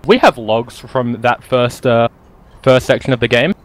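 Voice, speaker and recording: A man's voice: mid-range voice, animated, unhurried. A man co-casting a live esports match in a chatty, upbeat way, laughing now and then between phrases. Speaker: esports caster. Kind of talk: live game commentary.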